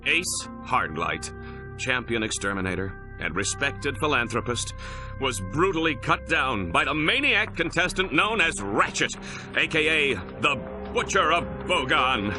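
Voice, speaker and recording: A man voicing a self-important, sensationalist TV announcer in a video game, grave and melodramatic, with booming proclamations. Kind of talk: mock news and show announcing. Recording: loud music behind the voice.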